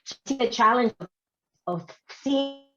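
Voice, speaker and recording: A woman's voice: on the high side, normal speed, sharp tone, soft background music. A woman in her forties moderating a panel over a video call, affirming and summing up her guests' stories. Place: home office with bookshelves. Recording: dull lo-fi video call audio.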